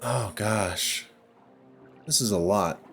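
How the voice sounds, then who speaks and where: A man giving casual gameplay commentary, thinking out loud in short, halting phrases. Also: lowish voice. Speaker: let's play host. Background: music.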